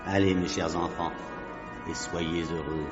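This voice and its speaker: Un acteur jouant un prêtre de fiction radiophonique, insistant et solennel, au ton déclamatoire. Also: male voice, low-pitched, flat delivery, unhurried. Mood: solemn. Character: brisk, fussy priest officiating a hurried wedding. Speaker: priest. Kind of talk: French radio drama acting. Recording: dull, very echoey church-like hall, music behind.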